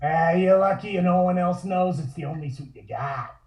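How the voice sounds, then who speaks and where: An actor playing a big, jovial gangster bouncer with streetwise old-time slang in a table read. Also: male voice, high-pitched, moderate pace, darkish tone, natural voice. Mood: teasing. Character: intimidating hulking mob bouncer, gruffly jovial hired muscle. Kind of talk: comic table-read acting. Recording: roomy, distant microphone.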